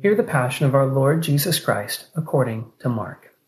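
A man's voice: mid-range voice, sing-song, normal speed, loud music behind the voice. A man giving a solemn, scripted reader's theater reading of scripture. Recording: roomy, echoing sound.

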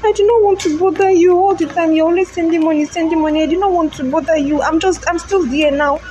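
A Nigerian woman playing a weary, grateful mother of small children in an audio drama, expressive and exclamatory. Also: very high-pitched, fast, highs cut off, a little hiss or hum. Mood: grateful, apologetic.

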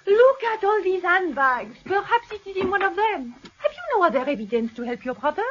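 An elegant French kept woman for a radio play. Her voice is high and swoops expressively, coquettish and airy. The recording is a little dull and muffled. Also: big pitch swings, a little fast, a bit bright, exaggerated voice. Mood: airy, searching. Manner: theatrical, coquettish. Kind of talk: radio drama acting.

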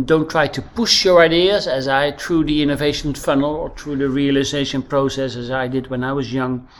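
A man with a Dutch accent answering interview questions as an innovation trainer, earnest and practical, pausing often with ums. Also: mid-range voice, a little mellow. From Netherlands.

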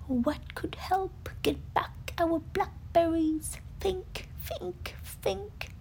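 A woman voicing a gentle, puzzled toy bear in a children's storybook, pondering as it speaks. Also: very high-pitched, extremely slow, vocal fry, whispered, pitched above the performer's own voice, heavily acted.

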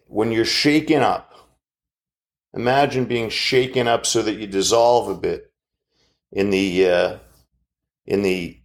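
Man in his fifties, gravelly, unhurried; earnest reflective speaker with pauses. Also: on the low side. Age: 50s. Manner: slow, measured and earnest, with pauses. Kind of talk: reflective motivational monologue.

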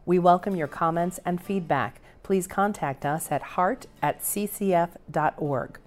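A woman's voice: lowish voice, measured pace. A woman reading scripted podcast announcements as the show's announcer. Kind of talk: scripted outro narration.

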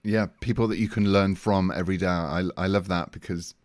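A man hosting an interview in a conversational style, forming his questions and comments deliberately with repeated words. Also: deep voice, fairly even intonation, a little mellow, dry recording, clean studio sound. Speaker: interviewer, host.

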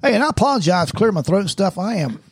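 A man's voice: sits high, very animated, racing, breathy edge, dry recording, clean studio sound. A man, co-hosting a casual podcast chat and teasing his guests. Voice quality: nasal. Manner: joking, teasing banter. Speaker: podcast co-host.

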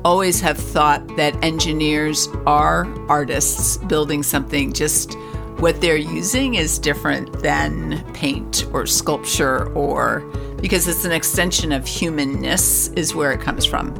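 A woman's voice: low-pitched, unhurried, vocal fry, music in the background. A woman hosting an interview, enthusiastic and asking open questions about her guest's background and ideas. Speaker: interview host, co-founder. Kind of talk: interview conversation.

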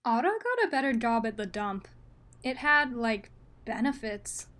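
Actress as a snarky, animated young woman. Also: on the high side, unhurried. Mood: casual. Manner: casual, sardonic, slangy. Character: snarky, casual young woman, science podcast host. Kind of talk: scripted comedy acting.

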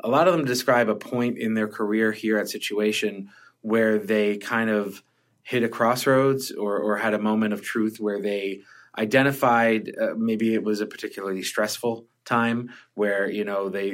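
Guy hosting a work podcast, kinda low voice, rambles and restarts a lot. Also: steady tone, measured pace. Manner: rambling, restarts sentences often. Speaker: podcast host. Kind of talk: casual workplace podcast conversation.

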